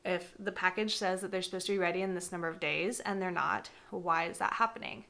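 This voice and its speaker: A woman's voice: on the low side, fairly even intonation, a little fast, gravelly, a bit bright. A young woman with a slightly congested voice, explaining gardening in a chatty, casual vlog with frequent fillers. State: congested from a cold. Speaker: gardening vlogger. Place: indoors, with some segments recorded outdoors in a garden.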